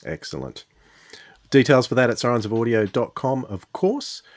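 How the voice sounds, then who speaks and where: A man's voice: medium pitch, sing-song, moderate pace, no echo. An Australian man presenting a podcast in a casual style as its host.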